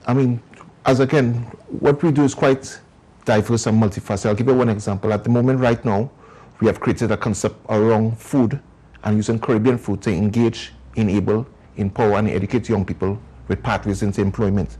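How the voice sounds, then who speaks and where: Trinidadian man, TV interview guest, low husky voice, earnest and long-winded. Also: fairly even intonation, brisk, dark tone, rough recording. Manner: earnest, explains at length. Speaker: community organization founder.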